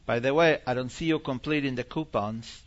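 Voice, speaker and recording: A man from Argentina, a visiting preacher teaching informally and taking questions in a halting, animated way that repeats phrases. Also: mid-range voice, lo-fi sound.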